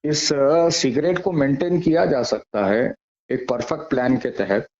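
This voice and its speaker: Dull phone recording of a mellow man. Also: mid-range voice, unhurried. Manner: polite, conciliatory. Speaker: head of an Islamic seminary. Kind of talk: phone conversation, negotiating. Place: phone call.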